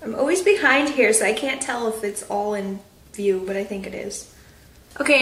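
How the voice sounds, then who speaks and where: A woman's voice: on the high side, fairly bright tone, recorded from a distance. A young woman, chatting casually and rambling with lots of fillers in a home update video. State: stuffy nose. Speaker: craft vlogger. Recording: roomy echo, off-mic.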